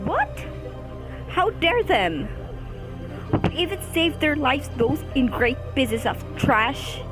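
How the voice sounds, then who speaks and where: A woman's voice: high voice, sing-song, slow pace, music in the background, exaggerated voice, low-quality recording. A woman voicing a vain, bitter schoolgirl who speaks scornfully in a student radio drama. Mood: indignant. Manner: scornful, indignant.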